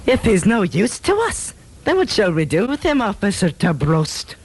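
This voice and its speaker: A gruff Scottish police chief for a comic radio play. He is bullying and scornful, with a melody that swings widely up and down. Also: high voice, sing-song, normal speed, heavily acted. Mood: scolding. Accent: Scottish, put on. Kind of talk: amateur comedy radio drama.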